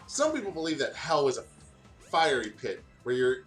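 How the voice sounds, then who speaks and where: A man with a fairly high voice reads fan fiction aloud in a loose, joking way, tripping over words and prone to giggling. He slips easily between reading and casual banter, with faint music behind him. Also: very animated, a little slow, a bit bright. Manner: giggly, goofy, often stumbling over what he reads. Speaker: host of a let's play channel.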